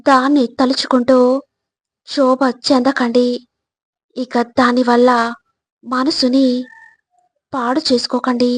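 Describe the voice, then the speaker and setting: Slow, even-toned woman narrating life advice. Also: high-pitched, slightly rough, no echo. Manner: measured, instructive, addressing the listener directly. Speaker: narrator of motivational videos. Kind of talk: scripted motivational narration.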